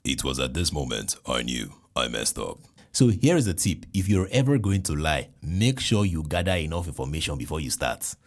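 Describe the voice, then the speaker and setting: Nigerian guy, super deep voice, animated funny storytelling podcast, lots of ah and never never. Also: normal speed. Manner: animated, jokey, with exclamations. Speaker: podcast host. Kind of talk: comic personal storytelling.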